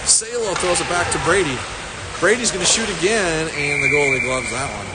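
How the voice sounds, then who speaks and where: A man doing casual play-by-play on a youth hockey stream, chatty and self-deprecating, with a loud noisy background. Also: fairly high pitch, fairly quick. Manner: chatty, self-deprecating, laughs at own mix-ups. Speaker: play-by-play commentator. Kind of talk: amateur hockey commentary on a stream.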